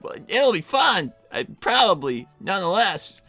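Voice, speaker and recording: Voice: medium pitch, big pitch swings, measured pace, sharp tone, faint music behind, exaggerated voice. A performer voicing an anxious, sleep-deprived young fox boy who stammers and rambles in an improvised cartoon roleplay. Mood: rambling. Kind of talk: improvised in-character livestream roleplay.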